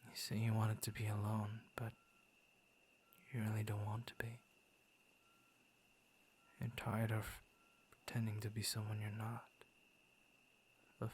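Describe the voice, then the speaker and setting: A man acts out a gentle young werewolf in an ASMR roleplay, low-voiced and very slow. He is shy and hesitant, stammering softly and often slipping into a breathy near-whisper. There is noticeable background noise around the voice. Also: completely flat delivery, lightly acted. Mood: gentle, concerned. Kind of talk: ASMR roleplay, intimate soft-spoken acting.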